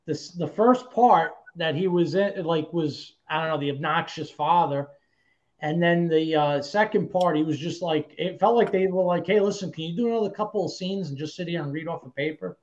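Brief: high-voiced easygoing New York man, middle-aged, dull lo-fi call audio. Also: brisk. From the Bronx, New York. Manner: laughing, easygoing. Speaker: guest actor. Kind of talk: casual chat on a video call. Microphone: video call.

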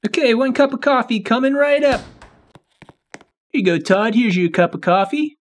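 A man's voice: very high voice, expressive, normal speed. A man playing an easygoing, agreeable cousin in a casual video game roleplay. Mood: obliging. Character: easygoing, obliging man hosting his visiting cousin.

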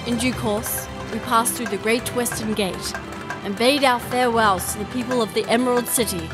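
A woman plays a confident, breezily bossy young princess in a fantasy audio drama. Her voice is fairly high and spirited, with music underneath. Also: steady tone, moderate pace, close to an everyday voice. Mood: narrating. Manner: breezy, commanding. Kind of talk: audio drama acting and first-person narration.

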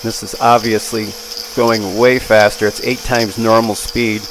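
Older man, lowish voice, plainspoken, casual workshop narration, clear machinery noise in the background. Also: little pitch movement, measured pace, a bit bright, rough recording. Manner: folksy, plainspoken. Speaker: machinist and channel host. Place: machine shop, indoors. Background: machinery and power tools.

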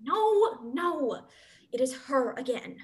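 A woman as a high, taunting childlike shark. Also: sing-song, slow pace, heavily acted. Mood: taunting, hungry. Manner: singsong, broken grammar, taunting. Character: Mako, menacing childlike shark who hungers to bite. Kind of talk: dramatic play reading. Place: small dim room, video call.

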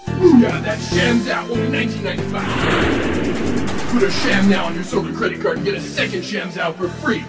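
A man playing an overexcited infomercial pitchman in a parody advert, shouting a hyped-up hard sell. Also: expressive, moderate pace. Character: overexcited male infomercial pitchman hawking a cleaning cloth. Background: loud music behind the voice.

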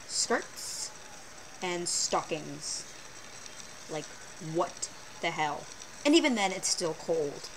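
A teenage girl vlogging from her bedroom, talking casually and animatedly with sarcastic asides in a fairly low voice for a girl. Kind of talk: casual webcam vlog. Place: small bedroom, indoors. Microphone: built-in webcam mic. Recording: noisy low-quality webcam recording.

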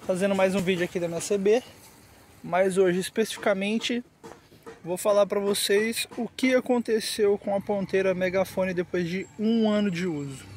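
A man with a Brazilian accent and a high-pitched voice speaks in a casual, slangy way. He sounds like a vlogger talking into a handheld phone outdoors, addressing his viewers informally. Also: steady tone, normal speed, darkish tone, slight background noise. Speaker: motorcycle vlogger. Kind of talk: casual vlog talk to camera, slangy. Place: outdoors on a quiet roadside by trees.

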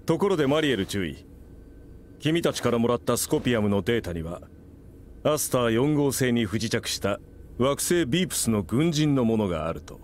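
A man's voice: mid-range voice, sing-song, brisk, slight background noise, close to an everyday voice. Man voicing a direct senior official. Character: senior male federation official, grateful and direct.

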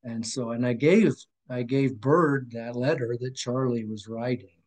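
A man's voice: medium pitch, measured pace, darkish tone. A man in his fifties, a podcast guest, giving an earnest, rambling personal account over a video call, with restarts and sudden drops in volume. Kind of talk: emotional personal testimony. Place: room at home with framed picture on wall. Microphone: video call webcam.

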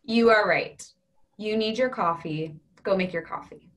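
A young woman playing a matter-of-fact, gently stubborn partner in an absurdist play reading over a video call. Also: mid-range voice, unhurried, lightly acted. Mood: calm, matter-of-fact.

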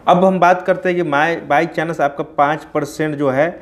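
A man's voice: a little high, fairly even intonation, measured pace. A man, hosting an informal explainer and often addressing listeners as friends. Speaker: poultry farming video host. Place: small plain indoor room.

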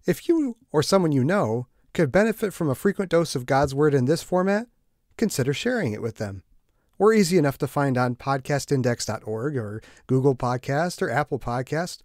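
A man's voice: on the high side, lively intonation, moderate pace, darkish tone, no room sound, clean studio sound. A man hosting a church podcast, giving a friendly, lightly joking promo announcement. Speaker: podcast host.

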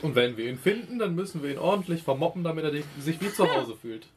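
A man's voice: fairly high pitch, expressive, quick pace, noisy background. A man with a German accent, casually bantering in character as a tabletop role-player.

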